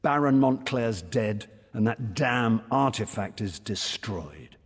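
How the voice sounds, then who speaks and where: Fantasy game high king: low, crisp voice, weary and wry, measured delivery in a reverberant hall. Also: expressive, full character voice. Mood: grave, wry. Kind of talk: fantasy video game voice acting.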